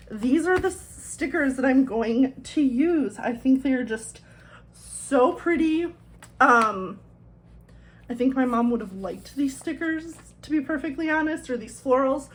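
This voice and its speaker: A woman chatting casually as she decorates a planner, her voice a little thick from swollen tonsils, rambling in self-deprecating asides. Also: high-pitched. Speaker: planner vlogger.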